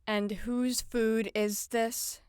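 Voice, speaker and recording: Audio drama: exasperated, stern female caretaker, crisp and fairly high, pressing with pointed questions. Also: level tone, very slow, darkish tone, no room sound, studio quality. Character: stern, exasperated female hospital caretaker scolding a difficult patient.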